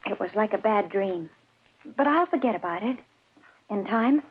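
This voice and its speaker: An actress playing a doting, worried wife in an old radio drama, with a fussing, solicitous manner. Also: female voice, mid-range voice, animated, moderate pace, breathy edge. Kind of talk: 1940s radio acting. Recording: thin phone-like old radio recording.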